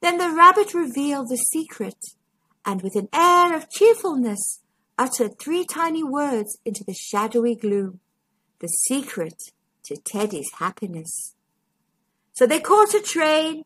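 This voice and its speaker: A woman storytime host with a husky voice, reading a children's story expressively to young listeners. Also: high voice, fairly bright tone. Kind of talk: storybook read-aloud narration.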